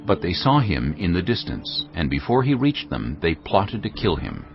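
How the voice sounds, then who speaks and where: A man narrating scripture in a measured, expressive dramatic style. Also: deep voice. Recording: dull audio over background music.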